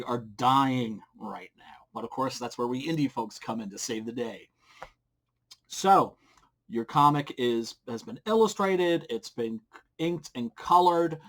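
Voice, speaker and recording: A middle-aged man hosting a casual how-to vlog, talking in a blunt, informal way. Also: mid-range voice. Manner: blunt, informal, mildly profane. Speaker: comic book creator and video series host. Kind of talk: casual instructional vlog to camera. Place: small wood-panelled room at home, indoors. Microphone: large studio mic on boom arm with pop filter.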